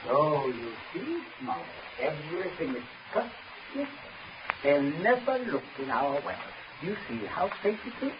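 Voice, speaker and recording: Casting: smooth, menacing father in a radio thriller, lively swooping delivery, muffled vintage recording. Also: on the high side, unhurried, background noise. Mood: cold, menacing. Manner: smooth, commanding, genteel. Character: cold, controlling English father coercing at gunpoint, falsely genial. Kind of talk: 1940s radio acting.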